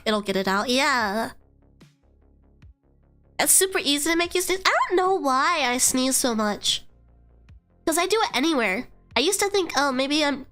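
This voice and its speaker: High, nasal young woman chatting on stream. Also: fairly bright tone. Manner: chatty, swears freely, trails into fillers. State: congested from a cold. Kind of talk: casual rambling stream chat.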